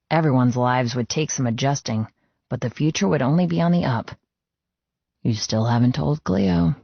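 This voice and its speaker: A man's voice: medium pitch, creaky, no echo. Male audiobook narrator, dry dull sound. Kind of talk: first-person dramatic scripted narration.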